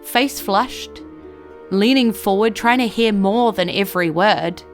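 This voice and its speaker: Female narrator, medium pitch, scripted fantasy storytelling, faint music behind. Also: unhurried.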